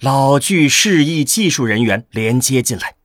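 A man's voice: medium pitch, lively intonation, a little slow. A man narrating a scripted science-fiction radio drama.

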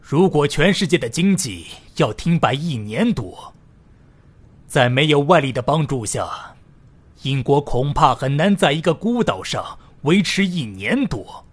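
A man's voice: fairly high pitch, big pitch swings, a little slow, husky, heavily acted. A man playing a worried, cautious diplomat speaking formally in an audio drama. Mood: concerned, cautious.

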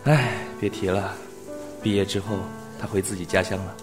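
Man as a nostalgic young man, low voice, gentle, reflective, audio drama, music behind. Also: fairly even intonation, moderate pace, lightly acted. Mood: resigned longing. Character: wistful young man longing for a lost love. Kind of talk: Chinese audio drama acting.

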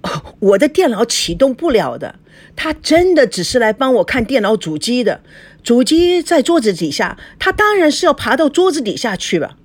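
Woman doing a flustered office boss guy, halting and placating, pitch jumps around a lot. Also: very animated, husky, exaggerated voice. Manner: halting, sighing, pleading. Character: flustered male office manager, placating and exasperated.

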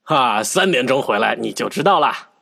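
A man's voice: mid-range voice, expressive, normal speed, creaky, bright, forceful tone, heavily acted. A man playing a jolly, secretive baker in a children's audio drama, playful and teasing, with music behind. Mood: playful secrecy. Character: jolly, secretive male cake-shop baker.

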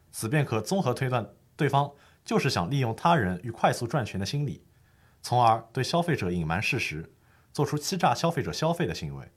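A man's voice: medium pitch, slightly rough. A man playing a patient lawyer who explains the law formally and methodically in a scripted educational audio drama.

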